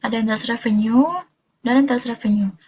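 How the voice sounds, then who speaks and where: A woman's voice: on the high side, steady tone, fast, darkish tone, breathy edge, highs cut off. A young woman explaining material step by step in a student presentation, informal and a little hesitant. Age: young adult.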